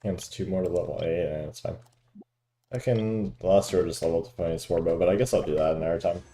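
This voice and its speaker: Male gaming streamer, low and very dark-toned, casual thinking-aloud commentary over game music. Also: fairly even intonation, quick pace, slight background noise.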